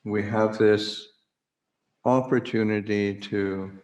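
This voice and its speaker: A man leading a guided meditation as a Buddhist teacher, speaking haltingly with long pauses as he searches for words. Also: lowish voice, fairly even intonation, darkish tone, slight background noise. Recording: roomy, echoing livestream recording.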